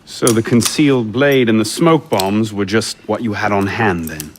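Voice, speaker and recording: Actor as a determined young assassin. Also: male voice, lowish voice, normal speed, a little hiss or hum, natural voice. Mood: dry, determined. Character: defiant young male assassin, dry and determined. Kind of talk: video game cutscene acting.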